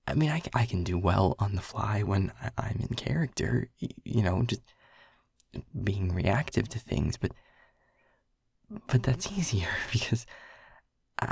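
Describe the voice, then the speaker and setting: A man plays a shy, flustered young male air elemental speaking one-to-one in an audio roleplay. His voice is breathy and husky, with some gravelly fry, at an ordinary male pitch. He stammers and repeats words in an earnest, nervous way, recorded very dry and close. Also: darkish tone, no echo. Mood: self-doubting, hesitant. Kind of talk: intimate audio roleplay addressed to the listener.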